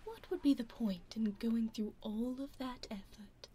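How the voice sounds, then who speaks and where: Whispering British vampire noblewoman, voiced by a woman, coaxing and politely menacing, unhurried ASMR delivery. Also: fairly high pitch, warm tone, slight background noise, lightly acted. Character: genteel female vampire lady, coaxing and smug. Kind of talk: ASMR roleplay.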